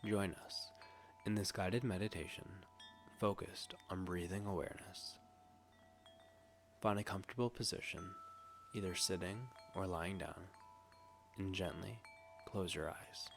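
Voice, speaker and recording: Low-voiced man guiding a meditation. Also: steady tone, vocal fry, slight background noise. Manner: gentle, soothing, hushed delivery with long pauses. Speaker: meditation guide. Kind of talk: scripted guided meditation.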